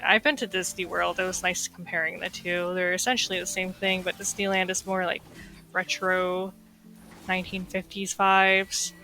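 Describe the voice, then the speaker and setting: Bright, nasal young woman chatting casually. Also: mid-range voice, rough recording. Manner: chatty, rambling, lots of fillers. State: recovering from illness, slightly stuffy. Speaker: gaming streamer. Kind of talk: casual gameplay commentary.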